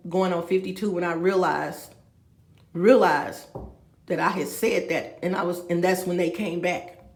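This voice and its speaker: A woman's voice: fairly low pitch, fairly even intonation, unhurried, echoey room. A woman, rambling and talking straight to her viewers with many asides. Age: 50s. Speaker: vlogger. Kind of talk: rambling confessional vlog. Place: room with a bed, indoors.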